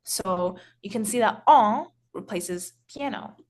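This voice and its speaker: A woman teaching French grammar in English, explaining slowly with many pauses, restarts and filler words. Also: medium pitch, darkish tone, a little hiss or hum. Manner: hesitant, frequent restarts and "so". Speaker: French tutor.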